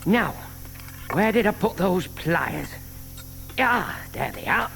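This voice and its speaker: An actor playing a gentle, courteous, excitable older retired man who mutters to himself in a children's audio drama. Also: sits high, slow, creaky, slight background noise, exaggerated voice. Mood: absorbed. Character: gentle, excitable retired bachelor hatching a plan.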